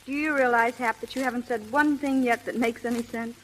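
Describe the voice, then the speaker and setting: An actress playing a gentle, well-bred young woman with polite, proper diction in 1930s radio acting style. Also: fairly high pitch, fairly even intonation, fairly quick, close to an everyday voice. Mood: flustered, apologetic. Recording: noisy, hissing 1930s radio recording.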